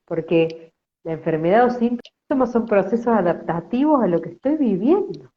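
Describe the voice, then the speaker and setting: A woman hosting a reflective conversation, speaking in a soft, flowing, thoughtful way. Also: mid-range voice, expressive, moderate pace. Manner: soft, flowing and thoughtful, acts out quoted complaints. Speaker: host. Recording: dull lo-fi audio.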